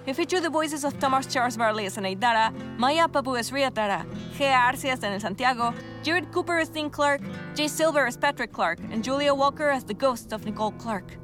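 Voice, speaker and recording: A woman's voice: high-pitched, lively intonation, fairly quick. A woman reading scripted end credits as a podcast announcer, with guitar music behind her. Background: plucked guitar music.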